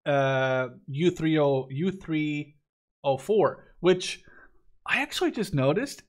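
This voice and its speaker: Male gaming host, twenties, from the Netherlands, slightly high-pitched voice, casual and opinionated review delivery. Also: lively intonation, takes it slowly. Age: 20s. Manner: opinionated, informal with swearing. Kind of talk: casual game review commentary.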